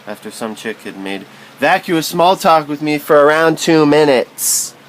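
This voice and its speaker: A man's voice: on the high side, animated, unhurried, a little hiss or hum. A man reading literary prose aloud as spoken word, in a musing, philosophical manner.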